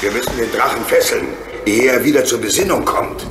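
An actor playing a calm, decisive, comradely engine driver in a children's radio play, giving clear instructions. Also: male voice, mid-range voice, brisk, heavy background noise, lightly acted. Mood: urgent, commanding. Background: music.

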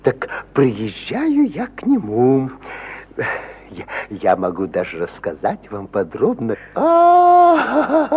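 A chatty, hospitable provincial judge in an old radio play. His voice is high and very husky, swooping wildly through rambling exclamations of wonder. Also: heavily acted. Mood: distracted. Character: garrulous, hospitable old-time provincial judge, easily astonished. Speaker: actor in an audio play. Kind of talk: 1950s Soviet radio theatre acting. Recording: muffled 1950 radio play recording.

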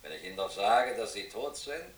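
An actor playing a matter-of-fact, argumentative deck officer in a radio play, reasoning with dry irony. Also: male voice, on the low side, very animated, moderate pace, a bit bright, a little hiss or hum, poor audio. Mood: insistent. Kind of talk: German radio play acting.

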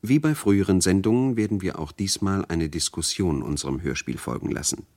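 Casting: man doing a portentous old-time radio announcer, very deep and weighty, dry close sound. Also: lively intonation, a little fast, a little mellow, dry recording. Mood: solemn, portentous. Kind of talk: mock vintage radio announcement.

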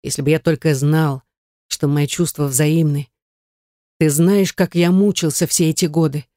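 A female reader plays a stern man in love. The voice is low and muted, serious and commanding as it persuades. It is a close, dry audiobook reading. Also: steady tone, measured pace, warm tone, no echo. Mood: regretful.

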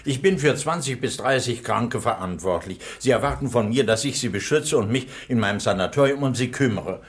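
Radio-play role: a man playing a formal, stubborn sanatorium doctor, mid-pitched. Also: expressive, brisk, close to an everyday voice. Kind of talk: 1960s German radio play acting.

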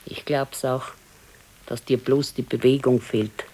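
Slow-talking older Bavarian wife, stoically chiding. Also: female voice, rumbling low, little pitch movement, warm tone, husky, heavily acted, low-quality recording. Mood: matter-of-fact. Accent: southern German, Bavarian. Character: aging wife, stoic, chiding, resigned, with heart pains. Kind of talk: naturalistic radio play acting.

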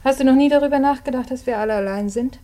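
An actress playing a lonely, candid young daughter in a German radio drama, plain and earnest with quiet defiance. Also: female voice, sits high, fast, lightly acted. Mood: bitter, earnest. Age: young adult.

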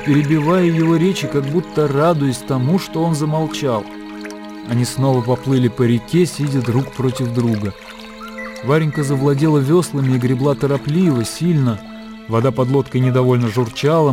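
A man's voice: mid-range voice, normal speed, lightly acted. A man narrating a classic story in a Russian radio play, composed and literary in delivery. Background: music.

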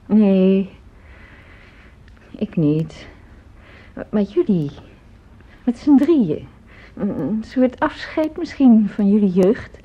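An actress playing a gentle, ailing older mother in a radio drama, soft-spoken and reassuring. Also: mid-range voice, very animated, extremely slow, pauses often, full character voice. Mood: wistful, fond. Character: gentle ailing older mother of grown children, downplaying her illness. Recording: dull lo-fi radio play recording.

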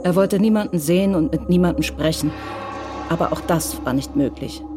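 A narrator reading a radio crime drama in a measured, even way. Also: mid-range voice. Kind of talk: scripted narration. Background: music.

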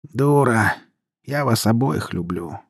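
A man voicing a cranky, worn middle-aged mother with a creaky, grumbling delivery in an audiobook story. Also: lively intonation, moderate pace, dry recording, clean studio sound. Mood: weary grumbling. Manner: grumbling, shrill when upset.

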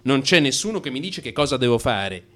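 A man's voice: medium pitch, very animated, fairly quick. A stressed out married man in crisis, radio play monologue, agitated, voice jumps all over. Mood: giddy relief. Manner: agitated, emphatic, swinging from hushed mutter to outburst. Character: anxious married man in crisis confessing to his analyst. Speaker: actor.

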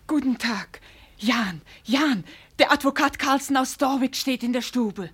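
High-voiced, careworn cottager's wife. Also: female voice, measured pace, fairly bright tone, breathy edge, heavily acted. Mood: respectful. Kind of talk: 1950s German radio play acting. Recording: vintage 1950 radio recording.

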